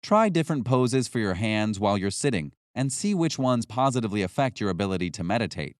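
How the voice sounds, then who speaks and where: Man narrating with lively, varied intonation. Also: fairly low pitch, fast, no echo, studio quality. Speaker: audiobook narrator. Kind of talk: scripted nonfiction audiobook narration.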